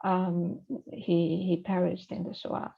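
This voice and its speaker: Scholarly woman guest, low and fry-edged, hesitant conversational delivery, muffled recording. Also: flat delivery, slow. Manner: hesitant, many fillers and restarts. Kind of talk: conversational interview.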